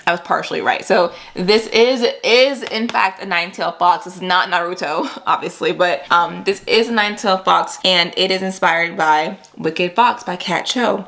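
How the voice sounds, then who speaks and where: Lower-voiced young woman vlogging casually. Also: normal speed, gravelly, few pauses, fairly bright tone. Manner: chatty, informal, slangy, addressing viewers as friends. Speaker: book vlogger. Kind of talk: casual vlog chat.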